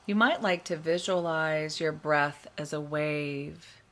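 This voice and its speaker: Slow, low-voiced woman guiding meditation. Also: a bit bright, a little hiss or hum. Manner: soothing, measured instruction with long pauses between phrases. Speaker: meditation guide. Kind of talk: guided meditation. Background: meditation music.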